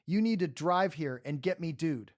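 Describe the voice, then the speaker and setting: A man's voice: a little high, expressive, moderate pace, darkish tone, no echo, studio quality, lightly acted. A man acting a panicked young guy begging his friend for help in an amateur audio drama. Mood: panicked, pleading.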